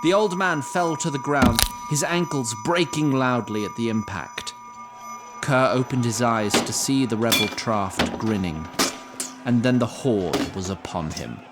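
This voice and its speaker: A man narrating a fantasy audio drama in a deliberate, solemn, scripted storytelling style. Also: mid-range voice. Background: music.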